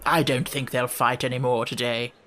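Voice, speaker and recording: A man's voice: mid-range voice, little pitch movement, normal speed, a bit bright, natural voice. A man voicing a mild, fussy old storybook king, nervous and given to muttering and repeating himself. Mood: matter-of-fact.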